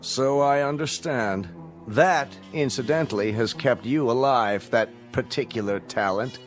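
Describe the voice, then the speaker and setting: An actor playing a cruel totalitarian chancellor in a radio drama, formal, condescending and mockingly polite. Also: male voice, mid-range voice, unhurried, soft background music, lightly acted. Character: coldly cruel, smug totalitarian state chancellor, a man.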